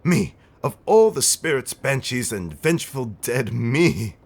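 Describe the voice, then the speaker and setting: A man's voice: medium pitch, very animated, unhurried, gravelly, exaggerated voice. A man playing an affectionate, centuries-old vampire husband in an ASMR roleplay, telling stories in a theatrical, teasing, old-fashioned way with dramatic swells and whispers. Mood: fond, amused.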